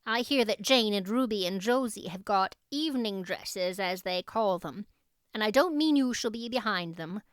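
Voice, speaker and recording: A woman's voice: medium pitch, lively intonation, moderate pace, smooth, no room sound, clean studio sound, natural voice. A woman voicing a practical, reserved older country woman with a tender core, plainspoken and matter-of-fact, in an audiobook. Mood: brisk, kindly.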